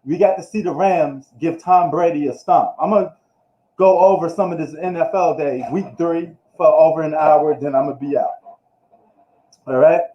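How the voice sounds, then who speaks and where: A man's voice: a little high, steady tone, normal speed. A man from New York giving a casual, slangy live recap of the football week, ranting loosely as he goes. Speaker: sports streamer. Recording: echoey room, dull lo-fi live stream.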